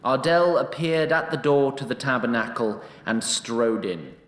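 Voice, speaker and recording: A man's voice: medium pitch, expressive, measured pace. A man narrating a scripted fantasy story in a formal, wry manner. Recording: roomy echo.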